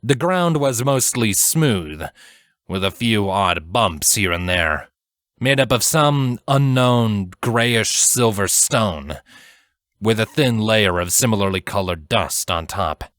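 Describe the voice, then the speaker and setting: A man narrating a dramatic fantasy story from a script in a measured, solemn storytelling manner. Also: on the low side, expressive, a bit bright, no room sound, clean studio sound. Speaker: audiobook narrator.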